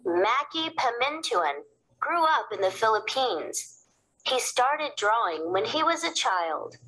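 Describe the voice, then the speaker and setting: A woman's voice: a little high, very animated, slow, sharp tone, slight background noise, rough recording. A woman reading a scripted biographical introduction for children.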